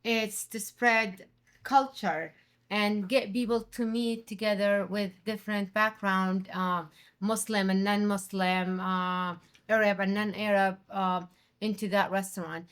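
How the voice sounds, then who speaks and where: A middle-aged woman from Jordan, a restaurant owner and chef, speaking English as an in an animated, conversational interview. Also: medium pitch, a little slow, clear, resonant tone. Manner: animated, quotes others with raised voice.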